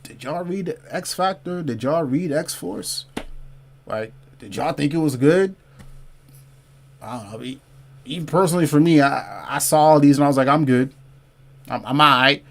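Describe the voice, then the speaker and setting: A man's voice: on the high side, moderate pace, vocal fry, long pauses. A man hosting a casual comics livestream, talking loosely to his chat, reading out viewer comments and repeating phrases to drive a point home. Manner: says y'all and man, asks viewers to comment below. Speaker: comic book livestream host.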